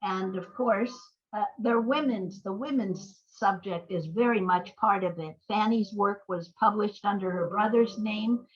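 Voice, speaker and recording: An older woman talking as an interview guest, telling stories conversationally in halting, reflective phrases. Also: medium pitch, slightly rough, highs cut off. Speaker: playwright and screenwriter guest.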